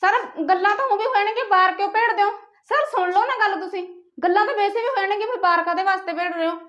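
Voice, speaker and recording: A woman's voice: very high voice, fast, fairly bright tone, no echo, dull audio. A woman acting a lonely, lovestruck mistress of a household in a short film, with a Punjabi accent. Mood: nervous, insistent.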